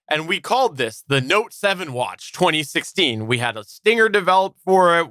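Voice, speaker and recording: A man's voice: a little high, pushed and bright, no room sound, clean studio sound. A man co-hosting a podcast, explaining points in a structured, semi-scripted way. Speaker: podcast co-host.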